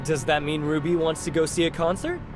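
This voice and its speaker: An actor as a polite young male companion in a video game. His voice is fairly high for a man and attentive, with an inquiring manner and clear music underneath. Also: fairly even intonation, fairly quick, close to an everyday voice. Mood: attentive, curious.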